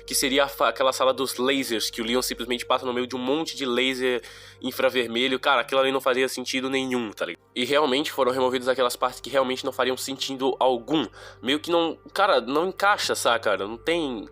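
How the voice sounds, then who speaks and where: A young man with a Brazilian accent talks through a game review in a loose, slangy, rambling way. His voice sits at an ordinary middle pitch and sounds nasal and congested from a cold. Faint music plays quietly behind him. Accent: Brazilian Portuguese. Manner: slangy, chatty, rambling asides. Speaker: gaming YouTuber. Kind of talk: casual game review monologue.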